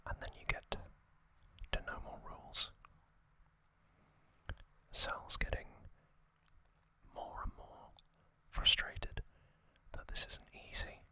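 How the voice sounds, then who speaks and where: An ASMR host whispering a recap in a breathy, unvoiced voice, in short soft phrases with long pauses. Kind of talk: whispered ASMR narration recapping an anime series.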